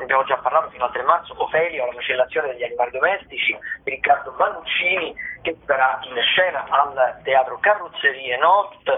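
Phone-line man, higher-pitched radio host. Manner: hesitant, discursive, improvised phrasing. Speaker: radio theatre show host. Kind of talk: informal radio listings rundown of theatre events. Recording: thin, band-limited telephone-like radio sound.

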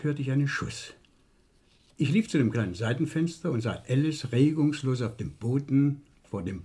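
Shrewd police inspector, slightly husky, lively delivery. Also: male voice, medium pitch. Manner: deliberate, falsely casual, probing. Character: shrewd, dryly needling male police inspector. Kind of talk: vintage German radio crime play acting.

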